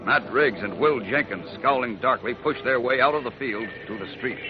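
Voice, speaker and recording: A man's voice: medium pitch, normal speed, over music. A man narrating a drama in the urgent, theatrical style of 1940s radio. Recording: muffled vintage radio recording.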